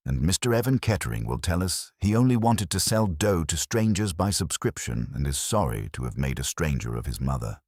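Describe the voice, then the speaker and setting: A man voicing an imperious, eloquent detective genius in an audiobook, coolly confident, measured and formal. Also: very deep voice, big pitch swings, a little mellow, husky, dry recording, clean studio sound, full character voice. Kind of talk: audiobook character dialogue.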